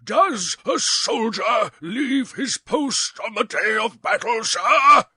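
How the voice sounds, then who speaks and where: A man voicing a hot-tempered, pompous old prince in a storybook reading, with a husky voice and a slow, commanding delivery. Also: high-pitched, lively intonation, pushed and bright, dry recording, voice pushed up, full character voice. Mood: furious. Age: older.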